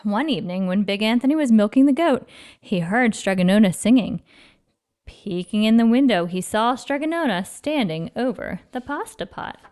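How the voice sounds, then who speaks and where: A woman reading a children's story aloud with expressive, dramatic emphasis. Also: fairly high pitch, moderate pace. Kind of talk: expressive read-aloud narration.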